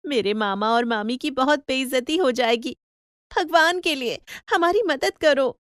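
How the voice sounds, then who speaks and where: A woman acts out a kind young village wife from a cartoon fable. Her high voice is excitable, full of gushing exclamations and pleas, in a dry close recording. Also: measured pace, no room sound. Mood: pleading. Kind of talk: Hindi cartoon story acting.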